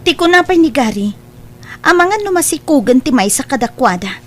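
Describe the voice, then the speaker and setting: Woman playing a suspicious young woman, higher voice. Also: big pitch swings, fairly quick. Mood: indignant. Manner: accusing, pointed. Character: suspicious, hurt young woman confronting her suitor. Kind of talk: radio drama dialogue.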